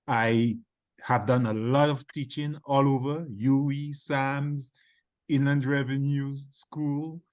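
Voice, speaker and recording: A man in his seventies from Dominica, talking as a panel guest in a measured, matter-of-fact manner. His pitch sits in an ordinary middle range. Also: little pitch movement, darkish tone, dry recording. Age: 70s. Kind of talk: casual chat.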